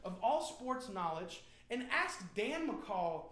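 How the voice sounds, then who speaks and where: A man's voice: sits high, sing-song, slow, fairly bright tone. An American man hosting a band's live stream, telling stories and joking in a playful, rambling, slangy way. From Indiana, United States. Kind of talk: casual joking banter with viewers. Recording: roomy, echoing live stream sound.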